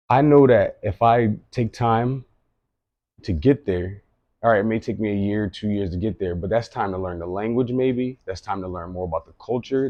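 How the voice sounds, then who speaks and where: A man's voice: low-pitched, dry recording, muffled recording. A man in his late thirties giving an earnest, rambling personal monologue, often repeating himself. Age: late 30s. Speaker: vlogger.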